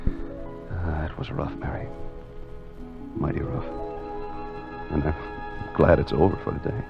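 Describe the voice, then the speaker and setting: A man acting a plain-spoken everyman in an old-time radio drama, halting and often repeating his words. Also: rumbling low, gravelly, heavily acted. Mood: weary, tender. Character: plain-spoken, hesitant everyman, weary sailor and curious questioner. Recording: muffled lo-fi vintage radio recording.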